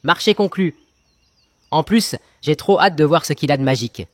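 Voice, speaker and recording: A man's voice: fairly high pitch, moderate pace, close to an everyday voice. A man playing a cheeky, argumentative young farm lad in a children's cartoon fairy tale, eager and playful. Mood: excited. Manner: cheeky, eager, pun-loving. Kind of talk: children's cartoon storybook acting.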